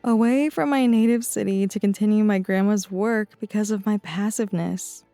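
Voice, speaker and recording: A woman's voice: mid-range voice, fairly even intonation, normal speed, mellow, no echo, faint music behind, lightly acted. A woman playing a hesitant young hotel maid in an audio drama. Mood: downcast, resigned. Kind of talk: audio drama character acting.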